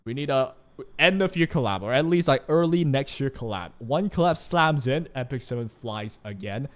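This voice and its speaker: A man's voice: medium pitch, lively intonation, moderate pace. Chatty Chinese-native man rambling about games. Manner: chatty, rambling, frequent "right?" asides. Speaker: gaming YouTuber. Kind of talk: casual commentary.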